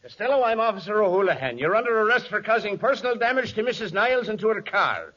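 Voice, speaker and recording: A man's voice: sits high, big pitch swings, fast. A man playing a stern, officious police officer in a 1940s radio comedy, blunt in manner. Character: stern, blunt Irish-named police officer making an arrest. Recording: dull noisy 1940s radio recording.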